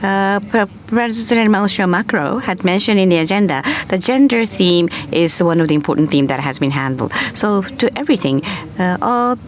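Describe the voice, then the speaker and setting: Halting woman moderating a conference. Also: on the low side. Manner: halting, many fillers and restarts. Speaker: moderator and interpreter. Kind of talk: conference moderation and live interpretation. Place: conference hall.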